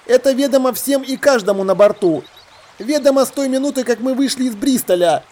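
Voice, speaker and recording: An honest, simple seaman from an adventure audiobook, played by a man. He speaks in a raised, high voice, anxious and insistent, pressing for answers. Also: a little fast, warm tone. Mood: puzzled, insistent. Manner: insistent, questioning. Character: anxious, simple honest sailor demanding the truth. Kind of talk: acted audiobook dialogue.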